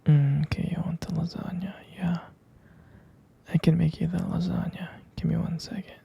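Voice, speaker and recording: A man playing an affectionate, doting boyfriend in an intimate ASMR roleplay, speaking in a soft, gravelly whisper with tender hesitations. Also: level tone, a little hiss or hum. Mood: caring. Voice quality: soft, breathy whisper with low gravelly fry. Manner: slow, tender, hesitant with pet names. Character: affectionate, doting male vampire boyfriend soothing partner to sleep.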